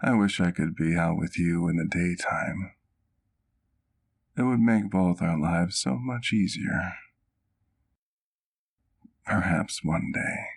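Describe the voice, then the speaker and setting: Casting: male actor for a genteel, doting vampire doctor in ASMR roleplay, low-pitched and unhurried, affectionate and gently teasing. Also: vocal fry, heavily acted. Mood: proud, tender. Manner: genteel, formal diction, affectionate. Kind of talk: intimate ASMR roleplay acting.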